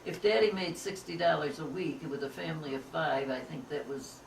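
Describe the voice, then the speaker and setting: A woman's voice: sits low, fairly quick. A ninety-year-old American woman recalling family history in informal talk, piecing memories together as she goes. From upstate New York, United States. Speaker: interviewee, family elder. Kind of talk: informal oral history recollection. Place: echoey room, away from the microphone. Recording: echoey, distant, clear background noise.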